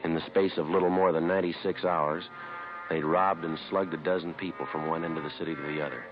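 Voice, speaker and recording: A very low-voiced actor as an American police detective delivers clipped, deadpan lines in a vintage radio crime drama. He is terse and strictly businesslike. The old recording is muffled, with music in the mix. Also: quick pace, natural voice. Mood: matter-of-fact. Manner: deadpan, matter-of-fact. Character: terse, businesslike male police detective narrating his case. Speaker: detective narrator.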